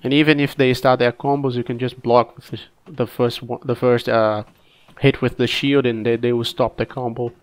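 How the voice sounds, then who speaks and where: Sick man casually narrating gameplay. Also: medium pitch. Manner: relaxed, halting, frequent restarts and fillers. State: sick, coughing. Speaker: gameplay commentator. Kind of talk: casual game commentary.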